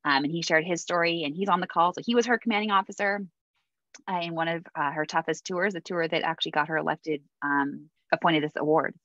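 Low-voiced woman hosting a video call. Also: a little fast, fairly bright tone, no echo. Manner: chatty, many fillers, laughs easily. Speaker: host of a Navy mentoring video call. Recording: dull dry video-call audio.

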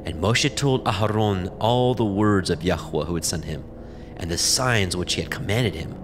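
A man narrating scripture from a script in a measured, solemn manner. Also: deep voice, background noise. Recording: music behind the voice.

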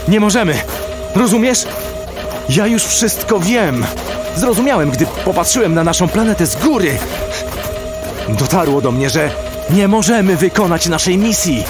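High-pitched, distraught male commando. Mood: desperate. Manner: urgent, emphatic, broken exclamations. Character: defiant, distraught male commando trapped in his body. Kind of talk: sci-fi audio drama acting.

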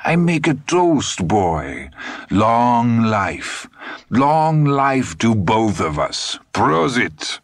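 A man's voice: medium pitch, very animated, no room sound, clean studio sound, pitched above the performer's own voice, full character voice. A man doing a sly, gloating old villain. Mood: mocking. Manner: slow, mocking, menacingly polite. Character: sly, cruel old German man in a bathrobe.

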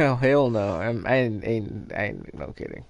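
A man in his late twenties hosts a relaxed gaming podcast, teasing his co-hosts and breaking into laughter often. His voice sits at an ordinary middle pitch. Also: unhurried. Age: 20s. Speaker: podcast host. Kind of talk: casual chat.

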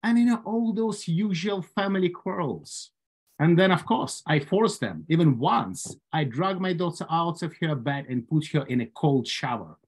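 A man in his forties with a Ukrainian accent, giving a prepared humorous speech at an online club meeting with dry humor and measured phrasing. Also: a little high, animated, husky. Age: 40s. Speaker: club speaker. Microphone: video call.

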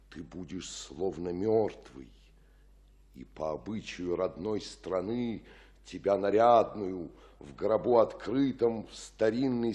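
An actor playing an older, fatherly friar in a radio tragedy, speaking in a husky, measured, solemn declamation. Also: low-pitched, warm tone, full character voice. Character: grave fatherly friar counselling a desperate girl. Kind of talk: Russian radio play acting of classical tragedy.

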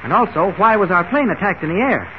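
An earnest newspaper reporter from a 1940s radio serial. He talks quickly and insistently in a high-pitched male voice. The old recording is muffled. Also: lively intonation, background noise. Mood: insistent, grave. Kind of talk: 1940s radio drama acting.

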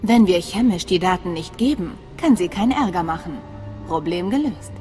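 A woman's voice: on the low side, expressive, moderate pace, mellow, faint music behind, lightly acted. An actress playing a cool, self-assured female spy in a video game, confident and controlled. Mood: decisive. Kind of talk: dramatic video game acting.

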